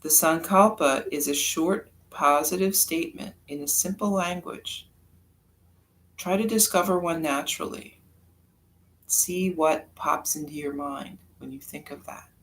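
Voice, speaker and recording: A yoga nidra teacher leading a guided meditation in a calm, unhurried, soft-spoken way with long pauses. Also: mid-range voice, poor audio.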